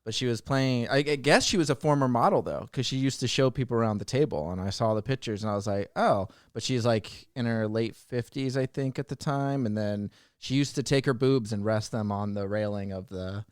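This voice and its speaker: A man's voice: mid-range voice, a little fast, hardly any pauses, clear, resonant tone, dry recording, studio quality. A man co-hosting a casual chat show, bantering in a teasing, joking way. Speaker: podcast co-host and audio drama producer.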